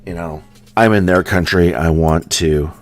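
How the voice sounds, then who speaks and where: A man's voice: deep voice, fairly even intonation, a little slow, soft background music. An American man chatting casually and at length with a live audience as a streamer, with a General American accent and lots of ums and likes. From United States. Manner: rambling, filler-heavy, with many ums and likes. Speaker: coin hunting streamer.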